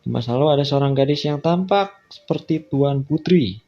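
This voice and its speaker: A man's voice: medium pitch, normal speed. A man with an Indonesian accent doing a casual let's play, reading visual novel text aloud and adding colloquial off-the-cuff asides. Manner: colloquial, reacts with asides to what he reads. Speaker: gaming content creator. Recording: dull, lo-fi sound with faint music behind. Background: faint game music.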